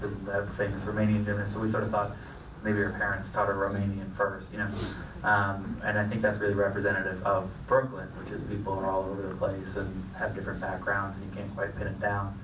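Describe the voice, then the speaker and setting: A man, a film producer, answering questions on a theater stage into a handheld mic, measured and thoughtful with frequent restarts. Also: fairly low pitch, monotone. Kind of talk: panel Q&A answers. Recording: echoey theater, distant mic, noisy.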